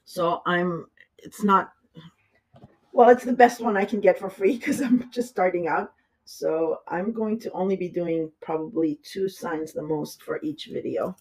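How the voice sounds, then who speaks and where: An astrologer with a raspy, hoarse voice from a cold, talking through a horoscope in a loose, rambling way, with the audio sped up. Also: medium pitch, measured pace, darkish tone, poor audio. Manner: rambling, talks loosely with asides. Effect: audio sped up in editing. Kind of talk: casual horoscope reading.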